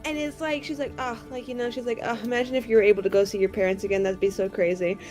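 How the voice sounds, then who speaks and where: High voiced gamer woman, chatty n slangy, mimics ppl as she talks, bit of music under. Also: fast. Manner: slangy, expressive retelling with mimicked dialogue. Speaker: tabletop roleplaying game player. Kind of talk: animated casual chat about a game.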